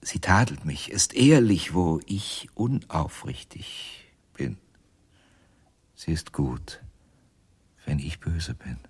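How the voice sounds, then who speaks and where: An actor playing a tormented, helpless ventriloquist in a radio crime drama, speaking in a halting, confessional way. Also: male voice, a bass voice, big pitch swings, a little mellow, breathy edge, heavily acted. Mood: haunted, pleading. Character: tormented male ventriloquist, helpless under his doll's power.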